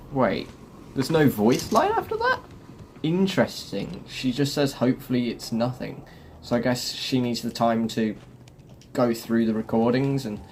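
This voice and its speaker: A man presenting a video game walkthrough, explaining things step by step to viewers in a chatty way. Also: mid-range voice. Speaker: gaming YouTuber presenting a quest guide. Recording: game sound clearly behind voice. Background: video game ambience and vehicle noise.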